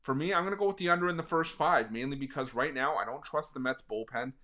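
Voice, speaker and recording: Hoarse man giving betting picks. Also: medium pitch, fast, bright, forceful tone. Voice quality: raspy. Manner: conversational, frequent fillers. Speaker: sports betting analyst. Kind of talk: sports betting pick video.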